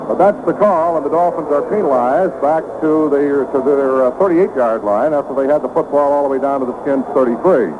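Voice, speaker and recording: Radio play-by-play man, highish voice, brisk calls, old tinny broadcast with steady hiss. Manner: brisk, rising excitement on big plays. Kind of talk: live football play-by-play.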